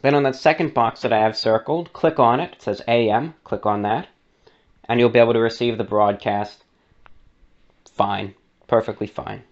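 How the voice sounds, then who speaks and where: A man's voice: mid-range voice, steady tone, normal speed, highs cut off. A man giving a conversational, step-by-step how-to narration as the host of a radio show. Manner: conversational, step-by-step instructions with asides. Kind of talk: explanatory how-to tutorial narration.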